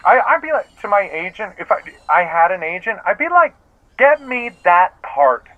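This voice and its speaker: A man's voice: sits high, very animated, moderate pace. A man playing an eager, awkward, gushing out-of-work actor in a comedic audio play, rambling and repeating himself through a phone filter. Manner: rambling, repetitive, effusive. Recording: thin phone-like band-limited line.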